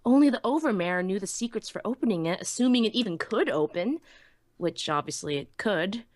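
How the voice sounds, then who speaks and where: A woman playing a small, anxious young female pony who narrates her own story in an expressive, earnest way. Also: medium pitch, brisk, dry recording, clean studio sound, natural voice. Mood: troubled, wondering. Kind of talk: dramatic first-person story narration.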